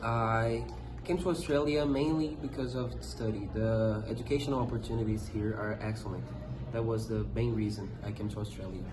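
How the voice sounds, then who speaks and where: A man's voice: lowish voice, fairly even intonation, normal speed. A young man answering interview questions in a scripted school role-play, reading with small hesitations. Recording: echoey room with noticeable noise.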